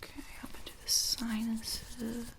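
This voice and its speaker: A woman doing ASMR, playing a doctor at a checkup, soft-spoken and often whispering as she asks gentle questions close to a sensitive mic. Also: a little high, completely flat delivery. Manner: slow, gentle clinical questions. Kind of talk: ASMR medical exam roleplay.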